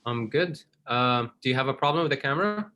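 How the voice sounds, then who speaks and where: A man's voice: mid-range voice, animated, a little fast, bright, forceful tone. A man teaching an online English class over a video call, friendly and patient, with loose fillers between thoughts.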